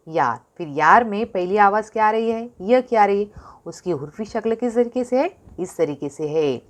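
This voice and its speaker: A woman teaching a recorded Urdu lesson to young children, with an Indian Urdu accent, asking questions and answering them herself, stretching and repeating words slowly. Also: mid-range voice. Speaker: primary school Urdu teacher.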